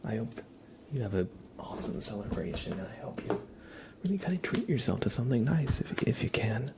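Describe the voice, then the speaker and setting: A young adult man speaking softly and gently as an ASMR livestreamer, half whispering as he chats casually to viewers. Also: medium pitch, big pitch swings, brisk, gravelly. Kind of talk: soft-spoken ASMR, casual chat with viewers.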